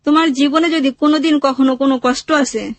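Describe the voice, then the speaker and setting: A high-pitched woman with a Bangladeshi accent tells a personal story. Her speech is plain and colloquial, full of quoted exchanges, and the recording is close and dry. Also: fairly even intonation, a little fast, dry recording. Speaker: interview guest telling own life story.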